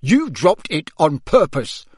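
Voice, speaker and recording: A man's voice: on the high side, very animated, slow pace, darkish tone, breathy edge, no echo, studio quality, heavily acted. A man voicing an outraged middle-aged father in an audiobook, scolding with emphatic indignation. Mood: angry, scolding. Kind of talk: audiobook character voice.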